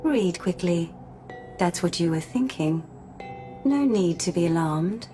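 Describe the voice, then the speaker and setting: An actress playing a calm, polite mind-reading female robot in a radio drama, speaking in a measured, formal, precise way. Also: fairly low pitch. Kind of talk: scripted radio drama acting. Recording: music playing underneath.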